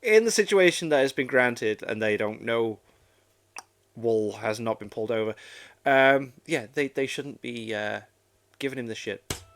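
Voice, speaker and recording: A man's voice: medium pitch, lively intonation, a little slow. A man hosting a reaction video with casual, informal commentary, swearing now and then and acting out imagined dialogue.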